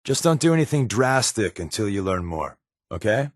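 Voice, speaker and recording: A man's voice: lowish voice, sing-song, normal speed, no echo, clean studio sound. A man playing a sly, wry undercover resistance agent in a video game, talking in a casual, glib, sardonic way. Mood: persuasive, wry. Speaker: video game companion character. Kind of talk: scripted game dialogue, conversational.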